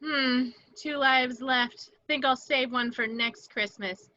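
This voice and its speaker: A woman's voice: sits high, fairly even intonation, takes it slowly, pushed and bright, lo-fi sound, heavily acted. A woman acting a vengeful, seductive catlike antiheroine in a superhero table read, with dramatic taunts. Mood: taunting. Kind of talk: amateur table read of a superhero film script.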